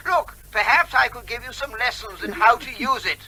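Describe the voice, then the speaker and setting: Smug pedantic neighbour, high-pitched, slightly rough-edged, breezy, teasing, evasive, comic radio drama. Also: male voice, normal speed, bright, forceful tone, heavily acted. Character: cheeky, evasive, mock-polite male neighbour who teases and quibbles.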